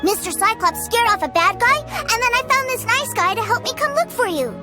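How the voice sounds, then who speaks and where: A woman's voice: very high-pitched, lively intonation, a little fast. A woman voicing an innocent, cheerful, trusting little boy in a video game, earnest and wide-eyed. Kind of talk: animated video game character acting. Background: background game music.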